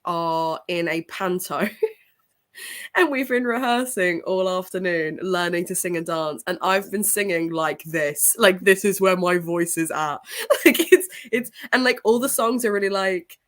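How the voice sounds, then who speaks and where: A woman's voice: fairly low pitch, a little slow, fairly bright tone. Congested woman chatting on a livestream. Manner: chatty, greets viewers by name, laughs often. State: congested with a sore throat. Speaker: book channel host. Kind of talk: casual livestream chat.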